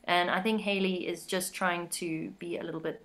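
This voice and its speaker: Bright-voiced woman singer chatting eagerly. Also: steady tone, moderate pace. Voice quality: high voice. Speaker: band singer. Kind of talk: casual interview chat.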